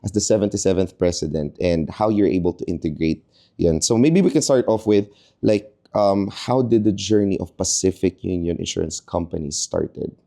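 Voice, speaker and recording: A man's voice: sits low, moderate pace, dark tone. A man co-hosting a podcast, asking curious, conversational questions. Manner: curious, conversational, mixes in Tagalog words. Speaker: podcast co-host. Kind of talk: casual interview chat.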